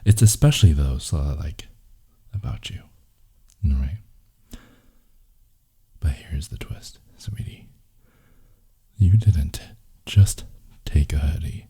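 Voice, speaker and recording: A man playing a grumpy, possessive, wounded boyfriend in an intimate ASMR roleplay, breathy and intense, often dropping into a whisper. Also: rumbling low, lively intonation, mellow, full character voice. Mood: intimate, teasing. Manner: slow, intense, teasing murmur with dramatic pauses. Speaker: audio roleplay voice actor.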